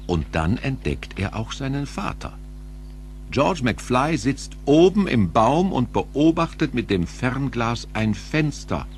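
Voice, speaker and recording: A man narrating a scripted audio play in a dramatic storytelling manner. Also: mid-range voice.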